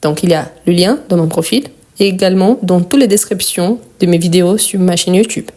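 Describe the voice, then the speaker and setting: A woman's voice: fairly low pitch, brisk, dark tone. A French teacher whose first language is Portuguese, speaking with a Brazilian accent as she patiently walks through grammar during a live lesson. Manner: patient, repeats and spells out endings.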